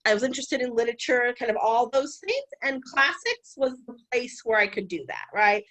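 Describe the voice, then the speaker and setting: Female scholar guest speaker, high voice with wide swings, animated public talk. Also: a little fast, poor audio. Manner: animated, conversational, occasional restarts. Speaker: guest lecturer. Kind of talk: public talk on ancient history.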